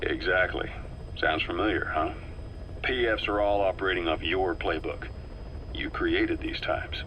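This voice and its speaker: A wary, embittered mercenary lieutenant weighs threats in a video game scene. His voice is low and forceful, with grave, dramatic emphasis. A slight noise runs underneath. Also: a little slow, poor audio. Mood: grave, suspicious. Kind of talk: scripted video game dialogue.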